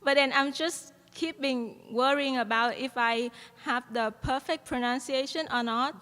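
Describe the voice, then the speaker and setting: A woman's voice: high voice, a little slow, fairly bright tone. A young woman from Vietnam with a Vietnamese accent, giving an earnest graduation speech at a podium mic with many "um" fillers. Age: young adult. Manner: earnest, with frequent "um" fillers and light laughs. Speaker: student speaker at a graduation. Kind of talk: commencement speech. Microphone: podium microphone. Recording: long hall reverb.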